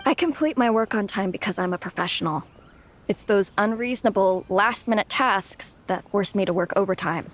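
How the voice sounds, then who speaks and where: A woman's voice: medium pitch, moderate pace, slight background noise, natural voice. An actress playing a polite, hesitant, overworked young female office worker in a video game. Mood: firm, polite.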